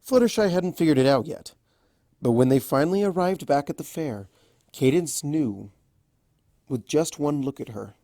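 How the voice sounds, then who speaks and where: A storyteller reading scripted fiction aloud as an expressive narrator. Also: medium pitch, normal speed, no echo, clean studio sound.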